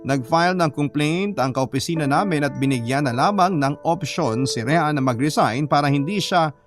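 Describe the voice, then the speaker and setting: A man narrating a scripted first-person story, reading a listener's letter for a radio drama. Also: on the high side. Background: background music.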